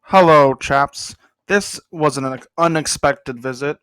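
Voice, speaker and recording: A man's voice: on the high side, a little slow, fairly bright tone, clean tone, no echo. A young man hosting a casual comedic anime commentary, rambling with self-deprecating jokes muttered under his breath. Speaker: host.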